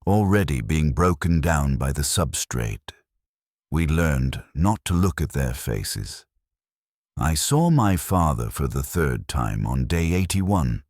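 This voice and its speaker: A man narrating a dark science fiction horror story in the first person, in a grave, measured storytelling manner. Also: a bass voice, dry recording, studio quality.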